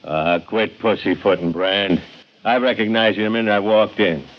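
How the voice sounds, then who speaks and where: An actor playing a gruff, needling police sergeant sidekick in a 1950s radio crime drama, blunt and sardonic. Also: male voice, lowish voice, moderate pace, natural voice. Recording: muffled vintage radio recording.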